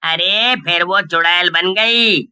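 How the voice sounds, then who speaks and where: Casting: man as agitated village fellow, dramatic and emphatic, piercingly bright, crisp, unhurried, animated story. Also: high voice, exaggerated voice. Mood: earnest. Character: agitated village man blaming others, explaining a witch's past. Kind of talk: Hindi animated story dialogue.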